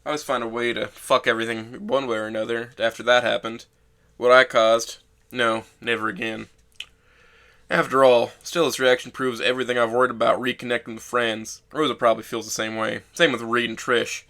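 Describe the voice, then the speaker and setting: Guy voicing a brooding young dude telling his own story, sardonic, self-loathing, sharp bright voice, audio drama. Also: medium pitch, vocal fry. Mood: bitter, brooding. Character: bitter, self-loathing young man narrating his life. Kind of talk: first-person audio drama narration.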